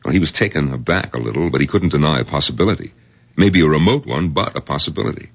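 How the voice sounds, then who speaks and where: An actor playing a skeptical, exasperated doctor in a radio drama, with a gravelly, husky voice and a wry manner. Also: male voice, a bass voice, expressive, fast, dry recording, exaggerated voice. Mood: troubled, confiding. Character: skeptical, exasperated male doctor, the old man's son-in-law. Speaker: narrator and doctor character. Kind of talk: 1970s radio drama acting and narration. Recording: muffled old radio broadcast.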